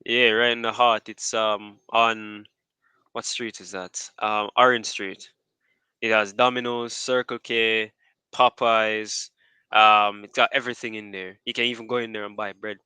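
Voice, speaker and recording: Male podcast host, casual and rambling, bright crisp tone, dry close sound. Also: lowish voice, little pitch movement, unhurried, no echo. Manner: rambling, restarts often, wraps up repeatedly. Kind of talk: casual chat.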